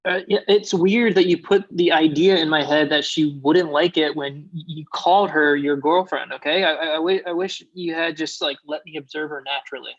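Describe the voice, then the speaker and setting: Reluctant male friend, thirties, actor in a comedy read, mid-pitched, awkward and halting, slightly muffled recording. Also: steady tone. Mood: exasperated. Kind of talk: comedy pilot table read. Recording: muffled, dull online call audio.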